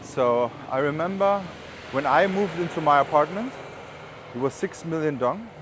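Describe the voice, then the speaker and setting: A man's voice: fairly high pitch, animated, normal speed. A man from Germany with a German accent, chatting casually and rambling with informal asides in a walking vlog. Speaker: vlogger. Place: outdoors on city streets while walking. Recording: outdoor street noise, passing traffic. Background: urban street ambience, vehicles.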